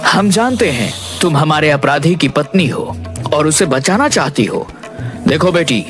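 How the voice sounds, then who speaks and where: Man playing a regal, commanding snake king, slightly high-pitched. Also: animated, unhurried. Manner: commanding, formal, grandiose. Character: stern regal snake king demanding justice. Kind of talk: Hindi animated fairy tale dialogue. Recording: music behind the voice.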